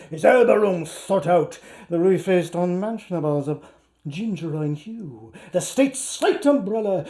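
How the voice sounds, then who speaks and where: Irish guy reading literature out loud like theatre, high voice for a man, mellow, takes his time, playful and dramatic. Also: creaky. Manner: declamatory, dramatic, playful delivery with drawn-out words. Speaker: actor reading aloud.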